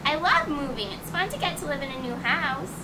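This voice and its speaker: Woman as a very high-pitched, eager little girl. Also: animated, fairly quick. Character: eager, innocent little girl, youngest daughter. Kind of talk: amateur radio play acting. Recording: distant mic, noisy rumbling home recording.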